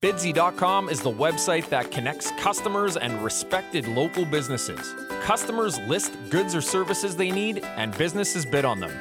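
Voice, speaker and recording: A man's voice: a little high, very animated, normal speed, few pauses. A man hosting a small business podcast, reading a polished intro and asking interview questions. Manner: polished, announcer-like delivery. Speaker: podcast host. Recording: faint music under the voice.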